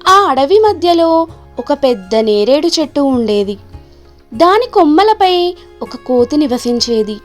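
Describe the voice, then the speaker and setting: A woman narrating a scripted children's story in an expressive, measured storytelling manner. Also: high-pitched.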